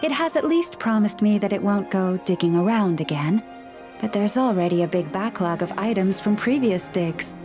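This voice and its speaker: A woman plays a gentle keeper of a forest library who talks with animals, her voice mid-pitched, calm and politely formal. Game music plays clearly underneath her lines. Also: moderate pace, close to an everyday voice. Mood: patient. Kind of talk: video game voice acting. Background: game background music.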